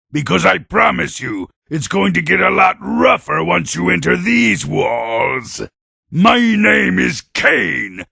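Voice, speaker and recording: Big dumb bragging monster warrior for a game, super gravelly, slow menacing drawl. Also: male voice, on the high side, sharp tone, no room sound, clean studio sound, exaggerated voice. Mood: gruff, suspicious, then boastful, aggressive. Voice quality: gravelly, raspy. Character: boastful, brawny Oni warrior creature, blustering dim tough guy. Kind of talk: video game cutscene acting.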